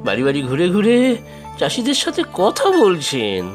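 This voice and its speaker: A man's voice: high voice, wildly swooping pitch, moderate pace, faint music behind. A man voicing a bold village henchman in a Bengali cartoon, with a dramatic, pointed delivery. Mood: sly, pointed. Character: moneylender's bold village henchman, secretly righteous.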